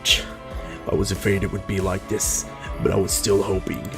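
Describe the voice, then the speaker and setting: A man voicing a calm, mysterious, protective male fighter in a story, composed, grave and measured. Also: low voice, vocal fry, over music.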